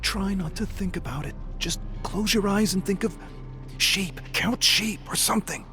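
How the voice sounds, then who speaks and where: Guy doing a pensive survivor woman, higher pitch, measured and careful, horror audiobook. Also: bright, forceful tone, soft background music. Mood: soothing, urgent. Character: composed, pensive woman survivor, knowledgeable about monsters.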